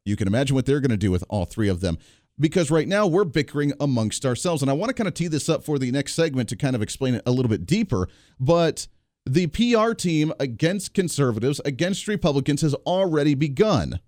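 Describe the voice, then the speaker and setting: A man's voice: mid-range voice, big pitch swings, darkish tone, no room sound. Opinionated male talk-radio host, dry sound. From Kansas area, United States. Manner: opinionated, sarcastic, rapid asides. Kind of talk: political commentary.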